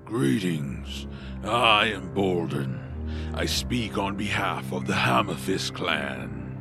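A man's voice: low-pitched, very animated, slow, exaggerated voice. A man playing a proud, scornful orc warrior in an audio drama, speaking formally and pompously over music. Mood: solemn, imposing. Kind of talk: family audio drama acting. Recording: voice under clear background music.

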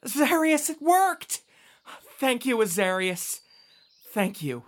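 A man's voice: very high-pitched, sing-song, slow, a bit bright, full character voice. A man playing a dutiful, grateful young newlywed son in a religious audio drama, earnest and respectful. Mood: excited, grateful.

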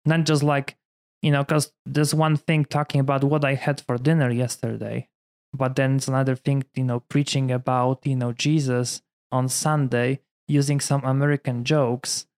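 A man in his thirties from Poland, a priest speaking English with a Polish accent in a relaxed conversation, full of "you know" fillers. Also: medium pitch, moderate pace. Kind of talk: casual conversation.

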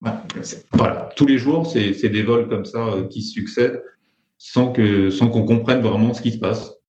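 French guy talking planes, lots of euh, kinda low, dull roomy audio. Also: moderate pace, a little mellow. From France. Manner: hesitant, many fillers and restarts. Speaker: private pilot guest. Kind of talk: conversational storytelling.

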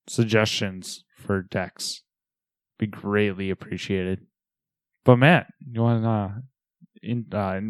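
Slightly raspy wisecracking co-host. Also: male voice, on the low side, dry recording. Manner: teasing, wisecracking. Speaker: podcast co-host. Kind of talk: casual podcast banter.

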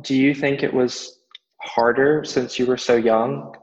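A man's voice: medium pitch, little pitch movement, measured pace. A man asks interview questions in an easy, friendly tone. His voice lingers in a long reverb and sounds slightly muffled.

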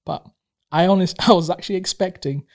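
A man's voice: high-pitched, brisk. A man in his thirties who grew up in Tanzania and speaks Swahili natively, chatting casually as a guest, rambling with many fillers and restarts. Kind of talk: casual conversation.

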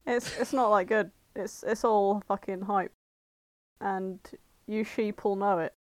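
A woman's voice: medium pitch, steady tone, a little slow, no room sound, poor audio. A woman co-hosting a podcast, blunt and mock-indignant, swearing as she argues. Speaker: podcast co-host. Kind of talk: casual chat.

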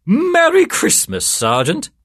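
Man playing a genial priest, very animated pitch. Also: a little slow, husky, no room sound, clean studio sound. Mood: cheerful, teasing. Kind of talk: storytelling character voice.

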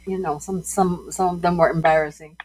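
A woman in her sixties, a food writer and guest, recalling her life at a halting pace with frequent pauses and restarts. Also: lowish voice, fairly even intonation, darkish tone, breathy edge, poor audio. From Philippines. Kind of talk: casual interview.

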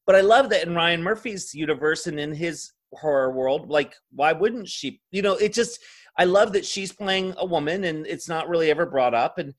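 A man's voice: fairly high pitch, moderate pace. A man speaking as an interview guest in a casual podcast conversation, thinking aloud in a discursive way with frequent filler phrases. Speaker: interview guest, drag performer.

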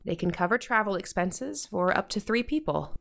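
A stressed American mother in middle age, acted by a woman for a modern audio drama. She has a mid-pitched voice, firm and stern yet plainly loving. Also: animated, moderate pace, gravelly. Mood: gentle, persuading.

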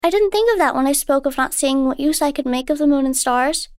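Woman as an eager, high-voiced little girl. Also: brisk, no echo, clean studio sound. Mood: curious. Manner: excited, earnest, questioning. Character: eager wide-eyed young girl, curious, sweet, innocent.

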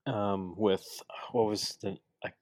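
A man's voice: lowish voice, fairly even intonation, slow pace, darkish tone. A man hosting a podcast in a casual, rambling way with many fillers and restarts. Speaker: podcast host. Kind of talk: casual chat.

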